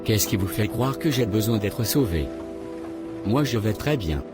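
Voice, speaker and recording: A man's voice: fairly low pitch, animated, unhurried. A man voicing a guarded, easily offended young man in a cartoon, curt and dismissive, with music behind him. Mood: guarded, puzzled. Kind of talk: scripted cartoon dialogue. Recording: loud music over the voice.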